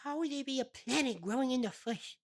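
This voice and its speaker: A tiny fisherman in his nineties, put on by a comedy performer, with a highish voice. He is stubborn, folksy and simple-minded, rambling earnestly through an improvised comedy interview. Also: animated, fairly quick. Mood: naive, earnest. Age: 90s.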